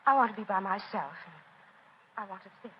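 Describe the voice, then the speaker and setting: A woman's voice: medium pitch, lively intonation, a little fast, natural voice. An actress playing a pensive, stubborn young woman in a 1940s radio drama. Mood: pensive, insistent. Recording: noisy, dull 1940s radio recording.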